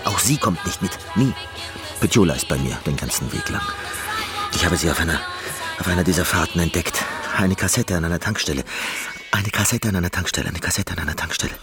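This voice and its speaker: A man's voice: low-pitched, wildly swooping pitch, a little fast, music in the background. An actor playing a reclusive, neurotic Canadian pianist in a radio drama, brooding and repeating his phrases compulsively. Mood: brooding, absorbed.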